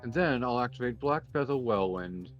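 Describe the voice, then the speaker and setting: A man playing a confident, composed card duelist in a Minecraft anime roleplay, announcing moves in a measured, deliberate way over music. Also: medium pitch, animated, dull audio, lightly acted. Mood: assured. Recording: music behind the voice.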